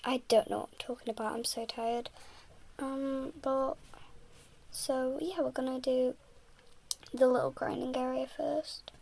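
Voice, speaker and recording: A young woman, a bit sick, rambling softly and often half-whispering in a casual voice-over about building in a game. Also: high voice, little pitch movement, unhurried, gravelly, pauses often.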